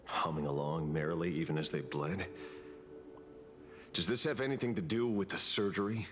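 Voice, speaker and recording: An actor playing a cynical, menacing interrogator in a video game, with a measured, taunting, dramatic delivery. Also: male voice, sits low, fairly even intonation, a bit bright, soft background music. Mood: cold, probing. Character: menacing, cynical male interrogator mocking a hero.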